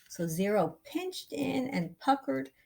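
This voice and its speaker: A woman reading a picture book aloud as a storyteller, with expressive, deliberate and dramatic phrasing for young listeners. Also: high voice, creaky, a little hiss or hum. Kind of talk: expressive children's read-aloud narration.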